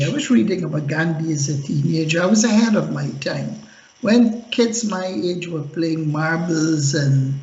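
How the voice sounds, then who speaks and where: A man's voice: a little high, vocal fry, a little mellow. A man from Guyana, a guest on a radio panel, giving an earnest, speechlike account of his background. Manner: earnest, speechmaking self-introduction. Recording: echoey, dull lo-fi line.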